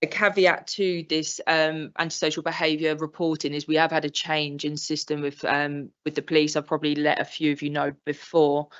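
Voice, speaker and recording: A woman's voice: sits low, level tone, a little fast, hardly any pauses, clear, resonant tone. An English woman, a police inspector, giving an informal briefing at a council meeting, with plenty of ums. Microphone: meeting room microphone. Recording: dull lo-fi council livestream audio.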